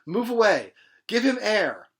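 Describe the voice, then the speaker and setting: A man acts out a panicked young son from a novel. His voice jumps high and loud as he calls out frantically, in urgent short bursts. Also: big pitch swings, a little slow, full character voice.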